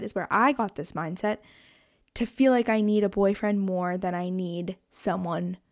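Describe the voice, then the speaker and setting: A woman of twenty hosting a solo podcast, talking in a chatty, animated way with lots of fillers and self-corrections. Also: medium pitch. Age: 20s. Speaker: podcast host.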